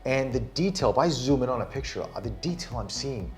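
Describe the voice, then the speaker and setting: A man's voice: mid-range voice, animated, a little fast, soft background music. A man reviewing a phone in casual chat, enthusiastic and demonstrating it hands-on. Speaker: tech reviewer. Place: Singapore studio.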